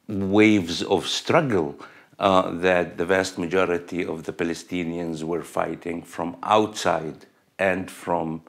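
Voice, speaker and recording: A man speaking in a documentary interview, halting and full of fillers. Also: low-pitched, fairly even intonation, gravelly.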